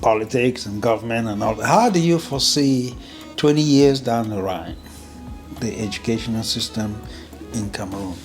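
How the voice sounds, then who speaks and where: A man in a mid-range voice hosts an interview, asking his questions in a measured, careful way. Faint music plays underneath him. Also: medium pitch. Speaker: interview host.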